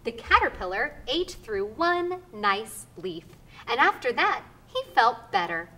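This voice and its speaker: Bright-voiced woman, unhurried story reading. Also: a little high, big pitch swings. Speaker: reader's theater performer. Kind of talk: expressive storybook read-aloud. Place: theater stage.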